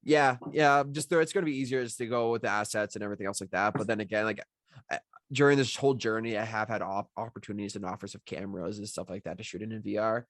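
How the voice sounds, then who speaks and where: A man's voice: on the low side, fast talker, a bit bright, no echo, clean studio sound. A man talking casually on a video call as a writer discussing his work, rambling and cutting himself off. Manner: informal, rambling, self-interrupting. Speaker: writer of the script being discussed. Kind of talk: casual chat.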